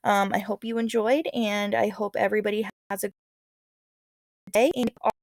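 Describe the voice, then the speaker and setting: A woman teacher reads a children's story aloud at a middle pitch. Her delivery is expressive, slipping into casual and encouraging commentary. The recording is dry, without room sound. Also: moderate pace, no room sound. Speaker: teacher reading aloud to students.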